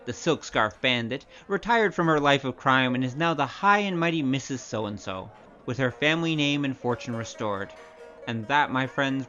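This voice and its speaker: A man's voice: medium pitch, a bit bright, lo-fi sound, natural voice. A man playing a breezy, cocky young adventurer and storyteller in an audio drama, wry, chatty and confiding. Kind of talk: audio drama acting and narration.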